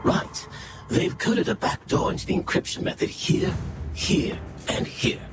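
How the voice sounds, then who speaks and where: A woman playing a careful, earnest, analytical young adventurer in a video game, explaining things in a thoughtful, measured way. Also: close to an everyday voice. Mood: focused. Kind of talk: video game cutscene acting. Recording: loud music under the voice. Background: loud music.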